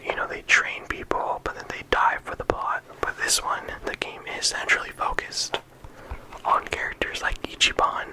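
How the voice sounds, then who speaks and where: Soft whispering ASMR speaker. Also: poor audio. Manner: soft unvoiced whisper throughout; casual, with frequent ums and likes. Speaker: ASMR creator. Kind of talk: whispered ASMR game discussion.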